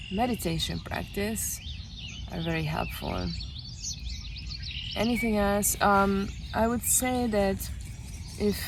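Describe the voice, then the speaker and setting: A woman from Hungary speaking slowly and gently, pausing often as she reflects, recorded outdoors by a lake. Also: medium pitch, background noise. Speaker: retreat guest sharing her experience. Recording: outdoor audio with clear birdsong. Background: birdsong.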